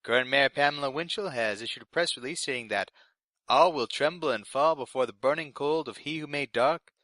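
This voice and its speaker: A man's voice: medium pitch, brisk, sharp tone, dry recording, close to an everyday voice. A man playing a nervous, eager young intern presenting community radio news in a polite, earnest way for a scripted fiction podcast. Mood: earnest, tentative.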